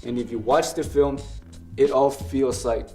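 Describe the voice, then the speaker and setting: A man's voice: mid-range voice, fairly even intonation, measured pace, warm tone, faint music behind. A young man with a Mexican accent hosting a casual film review, earnest and analytical about the film he loves. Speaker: film review show host.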